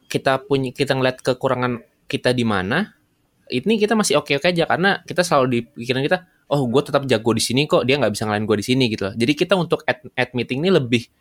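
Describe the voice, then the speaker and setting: A man's voice: mid-range voice, lively intonation, fast talker. A man in a casual podcast chat, reasoning aloud with hesitant restarts.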